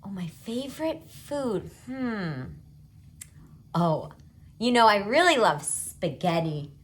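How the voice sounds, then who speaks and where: A woman's voice: mid-range voice, big pitch swings, slow pace, slightly rough. A woman hosting a children's show in playful dialogue, with exaggerated, childlike enthusiasm.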